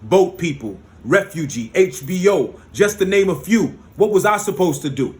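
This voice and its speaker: A man's voice: sits high, animated, moderate pace, a little mellow. A man from the United States performing spoken word poetry with a rhythmic, rhyming delivery and emphatic peaks, with short spoken asides between pieces.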